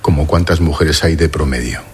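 A man, working as a reporter, interviewing a guest and asking brisk, direct questions. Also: very deep voice, a little hiss or hum.

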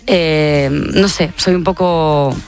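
A woman's voice: deep voice, fairly even intonation, slow, a little mellow, faint music behind. A young woman from Catalonia, a singer chatting as a radio guest, upbeat and talkative with many fillers. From Catalonia, Spain. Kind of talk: casual radio interview.

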